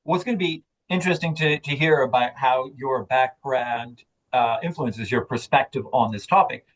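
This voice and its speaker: A man moderating an academic book talk, speaking in a measured, slightly halting way as he introduces the authors. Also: mid-range voice, poor audio.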